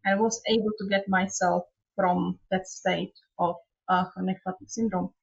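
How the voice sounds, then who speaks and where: A woman from Czechoslovakia, a trauma therapist telling her story in a measured way as an interview guest, speaking over a remote call from her home. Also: medium pitch, fairly even intonation, creaky, husky, highs cut off. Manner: non-native phrasing, measured storytelling. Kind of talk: interview conversation.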